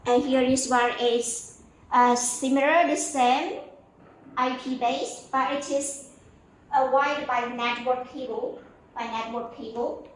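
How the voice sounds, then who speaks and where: A high-pitched woman presents products on a showroom tour in simple, halting phrases, repeating herself as she goes. She sounds away from the mic in a very echoey room. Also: fairly even intonation. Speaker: company sales representative giving a showroom tour. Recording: echoey room, distant mic, faint noise.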